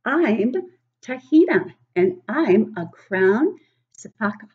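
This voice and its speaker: A woman's voice: a little high, expressive, takes it slowly, slightly rough, narrow, tinny audio, full character voice. A woman in her sixties voicing a cheerful baby zoo animal from a picture book, introducing itself proudly and emphatically. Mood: proud introduction. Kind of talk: picture-book character reading.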